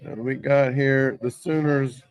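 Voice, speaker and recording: A hoarse man hosting a casual sports talk show from a sports bar, rambling and getting worked up over play calls. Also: mid-range voice, fairly even intonation, a little slow, dry recording, poor audio. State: hoarse from yelling. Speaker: host.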